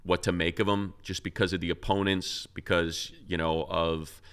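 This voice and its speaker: A man's voice: low-pitched, level tone, moderate pace, a bit bright, clear, resonant tone. A man co-hosting a casual sports talk show, talking loosely with many restarts and fillers. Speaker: co-host, radio play-by-play announcer.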